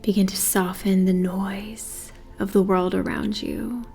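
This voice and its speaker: A woman leading a guided meditation in a soothing, measured manner, with breathy phrase endings and pauses between phrases. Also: mid-range voice, little pitch movement, dark tone, faint music behind.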